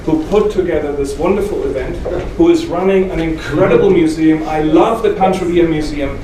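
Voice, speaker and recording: A man's voice: fairly high pitch, a little fast, dark tone. A man from Germany with a German accent and native German, a historian giving a public talk to a live audience. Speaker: speaker, historian and author. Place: indoor museum event room with audience. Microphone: not speaking into a microphone, picked up from distance. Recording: roomy, noisy hall, off microphone, distant.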